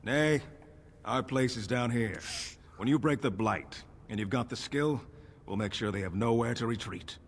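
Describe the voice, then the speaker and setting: A man's voice: mid-range voice, moderate pace, a little hiss or hum, lightly acted. A man playing a stoic, grim veteran dwarf soldier in a fantasy video game, solemn and plain-spoken. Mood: stoic, resolute.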